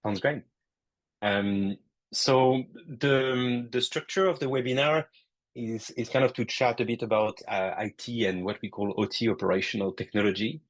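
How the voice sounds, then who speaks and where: A man with a French accent giving a technical webinar presentation over a call, halting often with fillers and restarts. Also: mid-range voice, low-quality recording. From France. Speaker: guest presenter.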